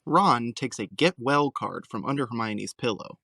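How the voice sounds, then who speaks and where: A man's voice: medium pitch, animated, normal speed, gravelly, bright, forceful tone, slightly rough, dry recording, studio quality. A man reading scripted scene narration for an audio drama.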